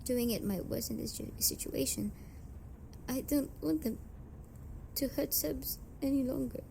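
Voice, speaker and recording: A young woman playing an emotional, halting tsundere delinquent heiress in an amateur audio roleplay. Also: sits high, vocal fry, warm tone, a little hiss or hum, poor audio. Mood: anxious, hesitant, then crying, fearful. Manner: emotional, halting, swears freely. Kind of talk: amateur audio roleplay, intimate dramatic monologue.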